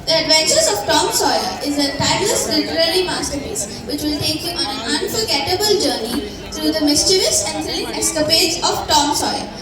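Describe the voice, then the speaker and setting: A woman's voice: sits high, animated, brisk, few pauses, loud music behind the voice. A young girl playing a proud famous novelist, reciting a scripted stage monologue in a declamatory way. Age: child or young teen. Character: proud famous American novelist introducing himself. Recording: echoey hall from far off, crowd.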